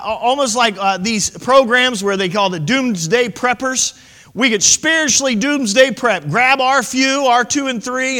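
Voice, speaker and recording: A man's voice: very high-pitched, pushed and bright, in a large hall. A man in his late thirties, preaching a sermon as pastor, impassioned and conversational with asides to the congregation. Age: 30s.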